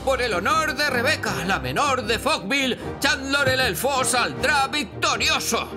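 A woman's voice: high-pitched, animated, unhurried. A woman voicing an earnest, valiant young male elf adventurer in a children's cartoon, pompous and heroic in manner. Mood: heroic zeal. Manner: pompous, heroic, archaic phrasing. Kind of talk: animated children's cartoon dub. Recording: music behind the voice.

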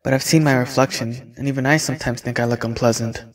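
An actor playing a brooding, self-conscious young necromancer in a horror audio drama, speaking introspectively with a husky voice. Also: male voice, medium pitch, steady tone, fast, lightly acted, rough recording. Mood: self-doubting. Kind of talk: audio drama inner monologue.

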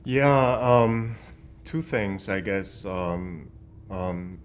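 A man from Germany with a very deep voice answers interview questions thoughtfully, filling pauses with ums. He sits in a studio for a press interview, with a faint rumble underneath. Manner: reflective, hesitant with ums. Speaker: actor interviewee. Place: studio set.

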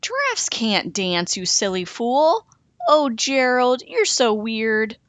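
A woman's voice: fairly high pitch, sing-song, takes it slowly, fairly bright tone, no room sound, heavily acted. Woman playing jeering jungle animals. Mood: mocking.

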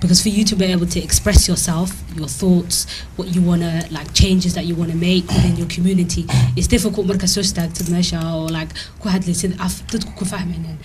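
Fast-talking young woman, code-switching. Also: on the low side, little pitch movement, a little mellow, a little hiss or hum, low-quality recording. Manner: fast, switches between English and Somali mid-sentence. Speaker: panel guest. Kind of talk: panel discussion. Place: TV studio, indoors. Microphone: handheld mic.

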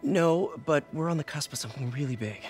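An American man in his twenties playing an earnest, quippy young superhero in a game cutscene, halting, self-conscious and wry. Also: a little high, soft background music, natural voice. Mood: awkward, hopeful. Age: 20s. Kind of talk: naturalistic video game cutscene acting.

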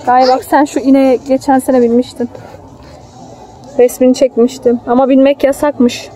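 A woman's voice: sits high, level tone, moderate pace, mellow. A young woman chatting casually and affectionately with her small child, explaining things simply and coaxing her along. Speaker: mother filming a family outing. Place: outdoors in a city park. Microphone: handheld phone held by the speaker. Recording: outdoor phone video with faint noise.

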